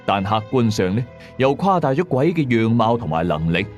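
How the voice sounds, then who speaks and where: A man narrating a scripted first-person horror story in a measured, dramatic way, drawing out the ends of some phrases. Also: mid-range voice, sing-song, a little mellow, soft background music. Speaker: storyteller of a radio ghost-story series.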